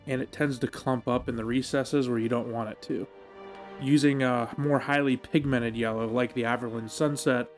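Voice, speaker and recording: A man giving a measured, explanatory voice-over as the host of a hobby tutorial. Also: medium pitch. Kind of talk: instructional voice-over narration. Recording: music behind the voice. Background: background music.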